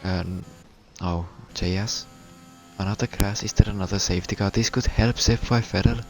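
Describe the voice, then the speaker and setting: A Finnish man commentating a sim race in English, a second language for him, with a halting, stuttering delivery. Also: lowish voice, fairly even intonation, faint music behind. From Finland. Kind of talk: live sim racing commentary.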